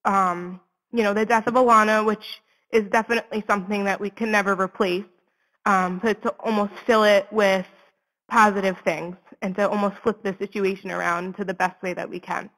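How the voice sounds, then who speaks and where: A woman's voice: mid-range voice, little pitch movement, fairly quick, a bit bright. Young woman in echoey reverb. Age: 20s. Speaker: interview guest, suicide prevention advocate. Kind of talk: candid interview talk.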